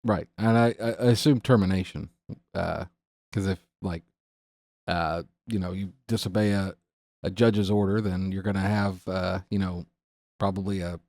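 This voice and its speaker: American male podcast co-host, fairly low voice, dry close sound, casual and tentative in short phrases. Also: fairly even intonation, moderate pace, a little mellow, dry recording. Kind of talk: casual discussion.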